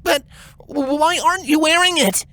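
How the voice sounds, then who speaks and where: A woman's voice: high-pitched, bright, forceful tone. A woman voicing an anxious, protective young male dragon who halts and stammers, in a fan fiction reading. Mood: panicked. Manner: halting, stammering.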